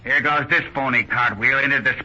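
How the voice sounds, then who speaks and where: An actor playing a tough, contemptuous gangster boss, husky and curt, full of slang, in 1930s radio drama. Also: male voice, mid-range voice, fairly even intonation, fairly quick, noisy background, natural voice. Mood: scornful, gruff. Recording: dull 1930s radio recording.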